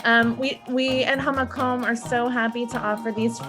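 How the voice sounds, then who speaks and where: A woman hosts an informal live stream with thanks and announcements. Her voice is on the high side and her delivery halting, with music clearly audible behind it. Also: level tone. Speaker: host of online children's event.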